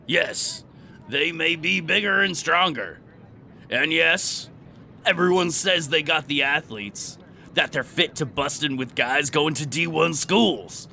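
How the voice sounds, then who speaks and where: A man playing a fired-up high school football coach giving a rousing, emphatic pep talk in an audio drama. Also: slow, vocal fry, sharp tone, a little hiss or hum, full character voice.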